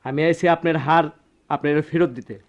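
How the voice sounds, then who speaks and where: An actor playing a righteous, self-assured gold dealer in a radio drama, deliberate and assertive, with a rural Bangladeshi accent. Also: male voice, a little high, steady tone, lo-fi sound, lightly acted. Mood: confident, reproachful. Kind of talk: Bengali radio play acting.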